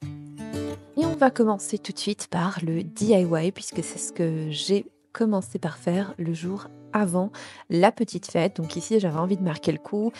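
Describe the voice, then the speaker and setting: Brief: young woman, native Portuguese speaker, relaxed crafts voice-over, low and mellow, soft music underneath. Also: measured pace. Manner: chatty, warm, many fillers like euh and ben. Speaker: DIY and family vlogger. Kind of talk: casual voice-over tutorial.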